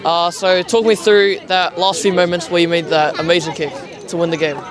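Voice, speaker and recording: A man's voice: sits high, little pitch movement, fairly quick. A teenage boy interviewing players on a sports field with a handheld mic, polite and hesitant with filler words. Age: teens. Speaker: sideline interviewer. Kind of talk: post-game interviews. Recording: crowd noise outdoors. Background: crowd chatter.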